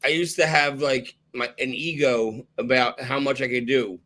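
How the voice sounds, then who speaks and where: A podcast host talks loosely and candidly with a guest. His man's voice is mid-pitched and hoarse, as if he is losing it. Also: steady tone, moderate pace, pushed and bright. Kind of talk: casual podcast conversation.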